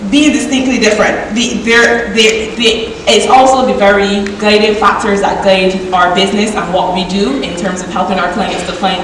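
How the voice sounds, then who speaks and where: A young woman with a Barbadian accent talks to a conference audience in a lively, chatty way. Her voice sits in the middle of the usual range. She is heard from a distance in a large, echoing hall with a long reverb and a faint hiss behind her. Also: mid-range voice. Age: 20s. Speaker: conference speaker. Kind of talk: conference keynote talk. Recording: echoey hall from far off.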